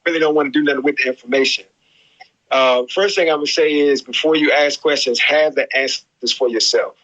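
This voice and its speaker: Measured middle-aged man, muffled audio. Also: medium pitch, a bit bright. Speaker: resident psychologist. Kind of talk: casual advice chat.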